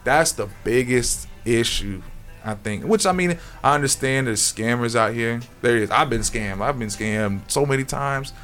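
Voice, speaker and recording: A man from South Georgia, a rapper talking casually in an interview, rambling and relaxed. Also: medium pitch, normal speed, soft background music. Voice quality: raspy at times. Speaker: rapper guest.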